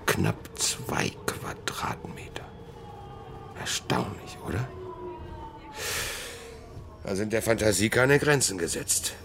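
A man's voice: on the low side, animated, slow pace, pauses often, in a whisper. An actor playing a coldly threatening male terror commander in a crime radio drama, speaking in a curt, commanding manner. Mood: quiet menace.

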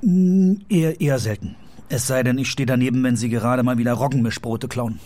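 A woman's voice: rumbling low, fairly quick, warm tone. A woman playing a patient, slightly flustered police officer in a radio play, asking questions hesitantly. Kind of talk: German radio play acting.

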